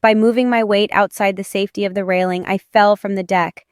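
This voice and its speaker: Smooth, clear woman narrating dramatic romance. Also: mid-range voice, steady tone, a little fast, no room sound, clean studio sound. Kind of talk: dramatic first-person audiobook narration.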